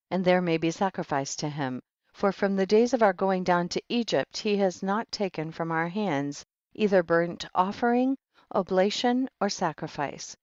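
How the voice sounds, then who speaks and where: A man giving a solemn, measured audiobook narration of old scripture in archaic wording. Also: high-pitched, a little mellow, no echo, muffled recording. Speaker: audiobook reader.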